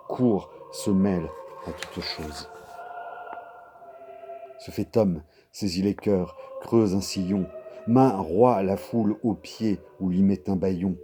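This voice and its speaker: A man performing a brooding, ambitious king in a solemn, declamatory reading of a classic verse stage monologue, with deliberate pauses. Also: low voice, mellow, a little hiss or hum. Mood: rising fervour. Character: brooding ambitious king, grandiose and awed before a tomb.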